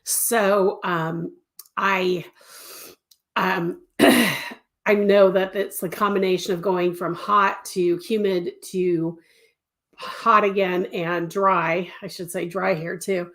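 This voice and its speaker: An older woman with a stuffy cold and a slightly raspy throat, chatting casually as host of a weekly live stream, greeting viewers by name. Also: fairly low pitch, steady tone, slow, a bit bright. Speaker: crochet designer hosting a weekly live stream.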